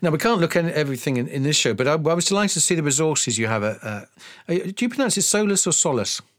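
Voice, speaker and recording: A man's voice: fairly high pitch, very animated, fast, no echo, clean studio sound. A man hosting a radio interview, asking friendly questions.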